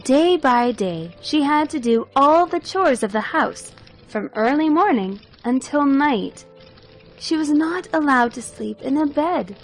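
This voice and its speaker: A woman storyteller with a high, very lively voice reads a children's fairy tale. She narrates expressively and takes her time, with music playing behind her. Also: unhurried, poor audio.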